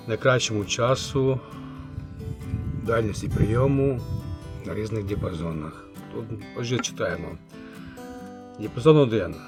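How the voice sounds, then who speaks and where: A man giving a casual hands-on review as a channel host, halting and thinking aloud, muttering remarks to himself. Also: medium pitch. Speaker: channel host, radio repairer.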